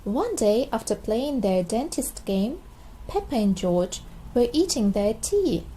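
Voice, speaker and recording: A woman storyteller reads to young children in a high, mellow voice. The pace is slow and the intonation very lively, over faint background noise. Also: low-quality recording. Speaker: storybook narrator. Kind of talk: expressive read-aloud for young children.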